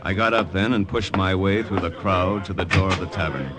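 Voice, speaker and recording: Actor as low-voiced American secret agent. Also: male voice, fairly even intonation, brisk, very noisy, close to an everyday voice. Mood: reflective. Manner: terse, understated. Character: wary, hard-boiled American secret agent narrating his mission. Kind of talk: old-time radio narration and acting. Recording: vintage radio drama recording with music.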